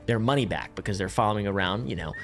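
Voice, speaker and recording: A man's voice: lowish voice, fast, a bit bright, faint music behind. A young man who streams, giving sarcastic, casual commentary on a political story and swearing now and then.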